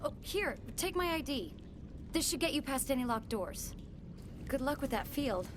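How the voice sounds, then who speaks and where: An actress playing an anxious, earnest young research assistant in a video game, hesitant and worried. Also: female voice, high voice, sing-song, normal speed, noisy background. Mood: helpful.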